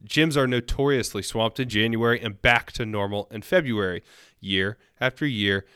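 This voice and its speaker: A man's voice: fairly low pitch, moderate pace, bright, forceful tone, clean tone, no room sound, studio quality. A man hosting a solo podcast, talking in an upbeat, earnest and encouraging way while a little nasal from a cold. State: has a cold, a bit nasal. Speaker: podcast host. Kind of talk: solo motivational podcast talk.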